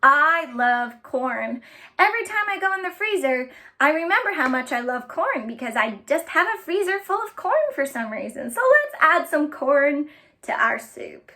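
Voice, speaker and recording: A woman hosting a storytime for children, speaking in an animated way and addressing them directly with simple instructions and phrases. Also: sits high, moderate pace. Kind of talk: children's storytime with sing-along chants and play instructions.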